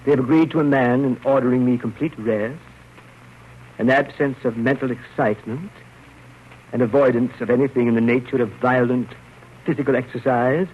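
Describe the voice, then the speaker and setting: An actor playing a fussy, nervous, proper gentleman in 1930s radio drama style, with a prim, halting delivery and habitual throat-clearing. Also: mid-range voice, fairly even intonation, close to an everyday voice. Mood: prim, anxious. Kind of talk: 1930s radio drama acting, first-person narration. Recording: muffled, noisy old radio broadcast.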